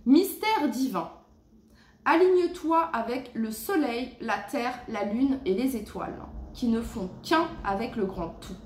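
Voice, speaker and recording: A woman giving a casual tarot reading at home, chatty and full of fillers, reading the card texts slowly and gently. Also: fairly high pitch, expressive, roomy sound. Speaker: tarot reader. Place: her home. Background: cleanup noise outside.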